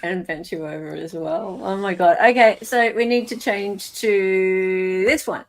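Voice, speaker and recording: A woman's voice: mid-range voice, measured pace. A woman with an Australian accent hosting a casual live show, playful and exclamatory. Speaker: host.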